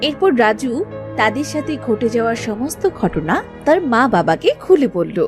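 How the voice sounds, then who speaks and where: A woman's voice: on the high side, big pitch swings, moderate pace, faint music behind. A woman giving scripted narration for a children's cartoon story.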